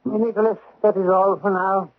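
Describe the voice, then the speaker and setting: An actor playing an impatient, authoritative examining magistrate in a 1970s radio drama, questioning briskly and peremptorily. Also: male voice, sits high, level tone. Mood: stern. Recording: tinny vintage radio broadcast.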